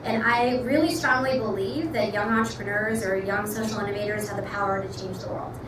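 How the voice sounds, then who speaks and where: A woman's voice: medium pitch, steady tone. Earnest American woman in an echoey hall. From Pakistan. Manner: earnest, fast-flowing, many ums and likes. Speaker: startup founder and CEO. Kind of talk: pitch talk to a live audience. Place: event room with audience. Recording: echoey room, far mic, noisy.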